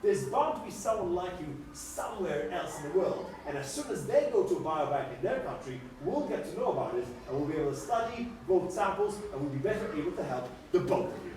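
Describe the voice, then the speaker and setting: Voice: medium pitch, brisk, few pauses, slight background noise. Stammering presenter. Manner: stammering, lively explaining to children. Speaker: puppet show presenter playing a doctor. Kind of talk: live educational puppet show. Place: large indoor hall. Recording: echoey hall from far off.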